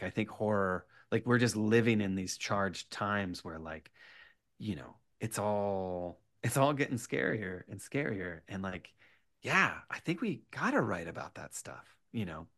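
A man speaking as a guest writer in a casual podcast interview, animated and rambling, halting often and swearing freely. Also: fairly low pitch.

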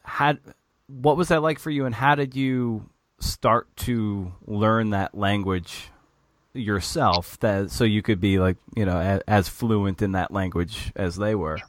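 An American man hosting a casual interview, circling his way haltingly toward each question. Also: fairly low pitch, steady tone, dry recording, clean studio sound. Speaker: podcast host and interviewer.

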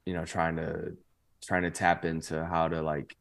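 A man's voice: sits low, completely flat delivery, normal speed, vocal fry. A young man in his twenties, a podcast guest telling stories in a loose, rambling way full of "like" fillers. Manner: rambling, heavy use of "like" fillers. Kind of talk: casual chat.

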